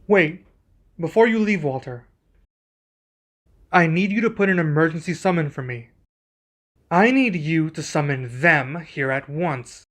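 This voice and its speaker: A man playing a young king and protective husband in an ASMR roleplay, speaking in a formal, measured, deliberate way. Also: a little high, a bit bright. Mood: stern, urgent.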